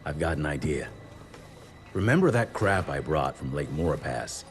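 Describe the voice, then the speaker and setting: An actor playing a blunt, steady veteran monster hunter with folksy tough talk in a video game, with loud music behind him. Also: male voice, very deep voice, normal speed. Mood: firm, reassuring. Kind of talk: dramatic game dialogue.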